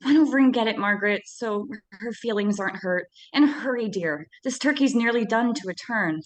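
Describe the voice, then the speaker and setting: A woman's voice: fairly high pitch, normal speed, lightly acted, poor audio. Woman playing a bustling, fussing radio-play mother. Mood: flustered, affectionate. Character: kindly, bustling mother busy cooking. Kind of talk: old-time radio drama acting.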